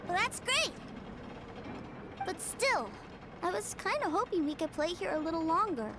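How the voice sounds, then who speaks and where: A woman's voice: very high voice, animated, moderate pace, background noise. A woman voicing an innocent, eager little boy in a video game, with a childlike voice. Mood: disappointed. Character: eager innocent little boy adoring his big brother.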